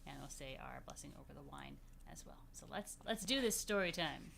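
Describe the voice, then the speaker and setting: A woman's voice: low-pitched, animated, fairly quick, gravelly, fairly bright tone, a little hiss or hum. A woman rabbi leading a children's story time, reading aloud and adding playful, simply worded asides with plenty of repetition. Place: virtual story time recorded in a room.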